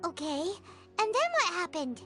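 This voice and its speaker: A tiny fairy girl companion, with an extremely high, squeaky childlike voice that swoops up and down. She is excitable and easily indignant, a chatty game character, with music playing clearly behind her. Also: moderate pace. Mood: eager. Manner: exclamatory, dramatic, speaks of self in third person. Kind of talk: video game character acting.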